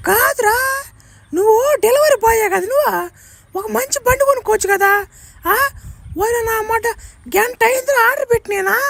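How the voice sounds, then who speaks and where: A very high-pitched, scolding cartoon woman. She is whiny and scornful, hurling insults in a comic parody, recorded very dry and close. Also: a little fast, creaky, a bit bright, no room sound, full character voice. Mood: scornful, whining. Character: angry, scolding woman customer, shrill and contemptuous. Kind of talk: comic cartoon dubbing in Telugu.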